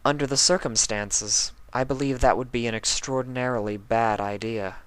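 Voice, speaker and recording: A man reading a fanfic aloud and voicing a solemn, wise female pony princess in a calm, measured way. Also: mid-range voice, clean tone, dry recording, voice pushed up, lightly acted.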